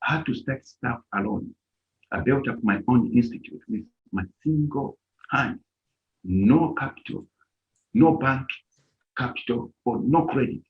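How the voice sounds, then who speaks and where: A man's voice: mid-range voice, lively intonation, measured pace. Emphatic Zambian-born man giving a speech. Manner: emphatic, rising to near-shouts on key points. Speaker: organization leader giving a speech. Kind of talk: impassioned speech at a live-streamed event. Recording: dull lo-fi stream audio.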